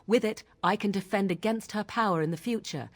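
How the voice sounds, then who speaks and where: An actress voicing a guarded, imperious young witch in a fantasy video game, speaking formally and with measured phrasing. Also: medium pitch, lightly acted. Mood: cool, resolute. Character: guarded, imperious young witch plotting against her mother.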